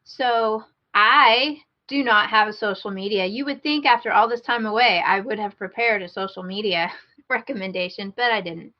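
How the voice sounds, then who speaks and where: Female podcast co-host, mid-pitched, informal and wry, slightly lo-fi online-call sound. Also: normal speed. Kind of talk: casual podcast chat. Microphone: internet call recording.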